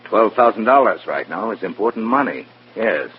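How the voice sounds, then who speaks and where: Guy doing stern commie boss, short weighty lines, lowish swoopy voice, muffled old radio recording. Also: expressive, normal speed, slight background noise, lightly acted. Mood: grave, pressing. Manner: terse, weighty pronouncements. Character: grave, commanding communist party comrade. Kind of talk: mid-century radio drama acting.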